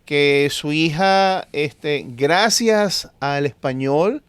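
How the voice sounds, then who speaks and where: A man's voice: a little high, extremely slow, smooth, no room sound. A middle-aged man with a Venezuelan accent, a doctor talking as a guest in an interview, emphatic and repeating phrases for stress. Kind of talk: conversational interview.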